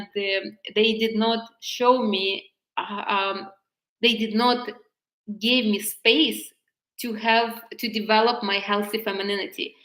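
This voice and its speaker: A woman's voice: medium pitch, a bit bright. Chatty laughing woman in an echoey room. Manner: chatty, laughs often, many fillers and restarts. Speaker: femininity coach. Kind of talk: casual teaching talk, answering live chat. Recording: roomy echo.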